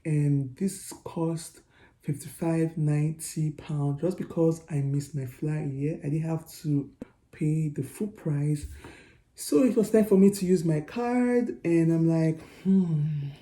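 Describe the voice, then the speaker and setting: A young Nigerian woman with a Nigerian accent, telling a story to her vlog viewers in a chatty, animated way. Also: deep voice, slow, roomy sound. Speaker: vlogger. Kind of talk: storytelling to camera.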